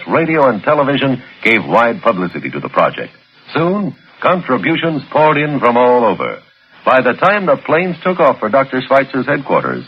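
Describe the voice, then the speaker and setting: A dull vintage radio recording with faint music carries an American male announcer. His voice is husky and medium-pitched, reading formal scripted narration earnestly in 1960s style.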